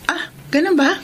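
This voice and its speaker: An actress playing a chatty, cheerful middle-aged mother in a Filipino radio drama, expressive, with a Filipino accent. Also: sits high, a little hiss or hum, heavily acted.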